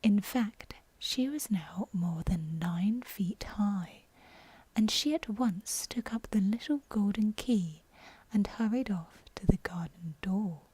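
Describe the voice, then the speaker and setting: A woman reads a bedtime story in a breathy whisper, ASMR style. She takes her time, and her tone is dark and soft. The sound is close and very dry. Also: medium pitch, lively intonation, takes it slowly, no echo. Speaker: audiobook narrator. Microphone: close, dry microphone.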